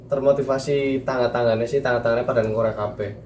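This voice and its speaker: A man's voice: medium pitch, steady tone, fast, a little mellow, slight background noise. A young man with a thick Banyumasan ngapak accent, telling his story in a casual group chat. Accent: Banyumasan Javanese (ngapak). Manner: storytelling, speaks in thick dialect. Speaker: guest. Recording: echoey, from far off the mic.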